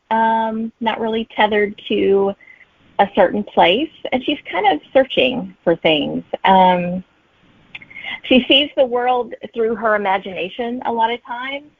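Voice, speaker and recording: A woman's voice: mid-range voice, breathy edge, no room sound, narrow, tinny audio. A woman chatting casually as an author being interviewed, heard over a thin remote connection.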